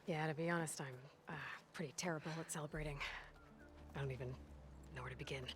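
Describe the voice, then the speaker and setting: An actress playing a young, modest and frank heroine in a video game cutscene, with an American accent and a reassuring, natural delivery. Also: female voice, low-pitched, fairly even intonation, fast, close to an everyday voice. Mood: modest, awkward. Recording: loud music behind the voice.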